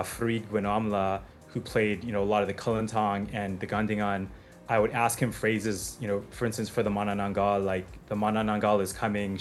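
A man's voice: lowish voice, a little hiss or hum. A man from the United States who composes for film, answering interview questions in a thoughtful way with plenty of fillers and restarts.

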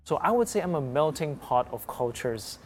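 A man's voice: a little high, big pitch swings, moderate pace. A man who grew up in Hong Kong, speaking as a guest in a casual interview, open and upbeat.

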